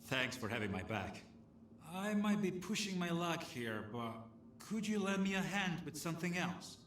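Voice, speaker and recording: An actor playing a friendly, slangy scavenger in a video game, speaking casually with some hesitation. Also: male voice, on the high side, sing-song, moderate pace, a bit bright, echoey room, slight background noise. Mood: wry, friendly. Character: chatty scavenger stalker man asking a stranger for help. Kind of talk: video game dialogue acting.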